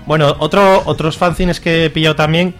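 A man's voice: a little high, fairly even intonation, moderate pace, a bit bright, soft background music. A man with a Spanish accent from Spain co-hosting a casual radio chat about comics, colloquial and joking. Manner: colloquial, jokey. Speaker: radio show co-host.

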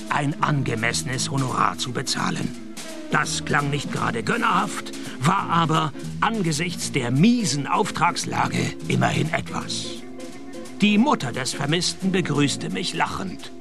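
An actor playing a grumpy, world-weary private detective in a comic radio play, with dry, sarcastic wit. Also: male voice, a little high, gravelly. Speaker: detective narrator. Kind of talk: comic radio play acting and first-person noir narration.

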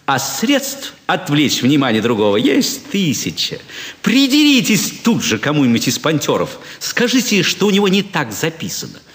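A proud, cool-headed card sharp from a classic stage comedy. His voice swings widely in pitch as he confides and boasts with theatrical emphasis, ringing in a long hall reverb. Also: huge pitch swings, normal speed. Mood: sly, instructive.

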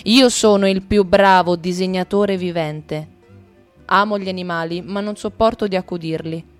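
A woman reading a first-person text in a radio play, calm and measured. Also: lowish voice, soft background music. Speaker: narrator.

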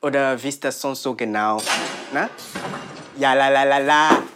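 An actor playing a restless, sardonic culture worker in a spoken-word performance. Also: male voice, mid-range voice, measured pace, close to an everyday voice. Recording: noisy recording with clicks.

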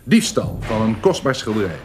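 An actor playing a vindictive, self-righteous informer speaking emphatically in a Dutch radio drama. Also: male voice, fairly low pitch, sing-song, moderate pace, over music. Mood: accusing, insistent. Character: vindictive, insistent male informer denouncing criminals.

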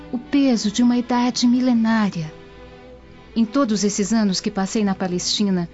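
A woman acts a serene young Roman believer with a Brazilian accent. She speaks formally and earnestly in a warm, mellow tone. It is a slightly dull radio drama recording with music behind. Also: medium pitch, natural voice. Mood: serene, reflective.

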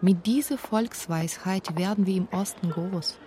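A woman's voice: medium pitch, unhurried, dark tone, slight background noise. A woman reading scripted narration for a radio documentary. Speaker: narrator and author of a radio feature.

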